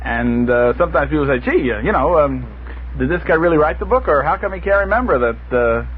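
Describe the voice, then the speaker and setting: A man's voice: fairly high pitch, lively intonation, fairly quick, a little hiss or hum. Hesitant man on tinny 1960s radio. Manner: hesitant, stammering restarts. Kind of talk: casual panel conversation.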